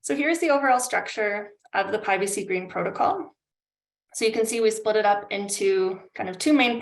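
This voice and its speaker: A woman's voice: medium pitch, creaky. A Canadian-accented woman in her thirties giving an informal, friendly professional slide presentation over a video call. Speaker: consultant presenting a webinar. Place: indoor room on a video call. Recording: roomy video-call audio.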